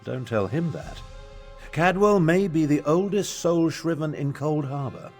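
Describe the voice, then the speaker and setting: A man's voice: fairly high pitch, expressive, measured pace. An older man acting a pompous, haughty battle mage and imperial advisor in a video game, with theatrical delivery. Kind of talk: video game character dialogue. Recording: music behind the voice.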